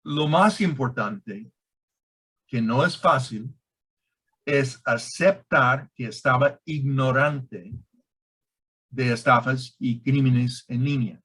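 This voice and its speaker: A man of medium pitch explains things as a guest expert. He speaks very deliberately and haltingly in non-native Spanish with a foreign accent, leaning on key words and making small grammar slips. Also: a bit bright, breathy edge, poor audio. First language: not a native Spanish speaker. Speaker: guest expert on online scams. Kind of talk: explanatory conversation.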